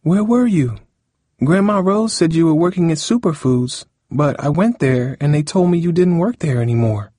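A man's voice: on the high side, expressive, normal speed, dark tone, no room sound, studio quality, voice pushed up. An indignant, hurt teenage girl from an audiobook, performed by a man. The voice is lifted well above a man's natural register and has a pleading, reproachful edge. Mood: worried. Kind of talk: audiobook character dialogue.

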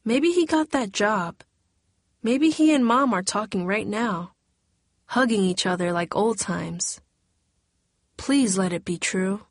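A troubled teenage girl talks through worry and strain, as a woman reading the story voices her. Her voice sits at a middling pitch and comes across close and dry. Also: takes it slowly, long pauses, no room sound. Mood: hopeful. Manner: emotional, strained.

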